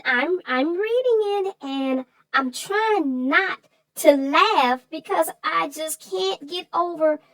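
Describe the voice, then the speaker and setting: A woman with a high voice chats about a children's book as a co-host. Her intonation jumps around playfully, and she leans into her puzzlement at an unhurried pace. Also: a bit bright, no echo, poor audio. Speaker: co-host of children's story show. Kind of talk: conversational book discussion.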